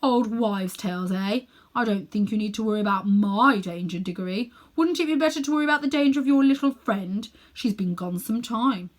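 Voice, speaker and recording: A woman's voice: mid-range voice, fairly quick, hardly any pauses, lightly acted. Woman acting a haughty, sinister old uncle. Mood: condescending. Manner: haughty, condescending, coolly smug. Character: sinister, cruel, pompous old uncle and amateur magician. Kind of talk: children's storybook reading.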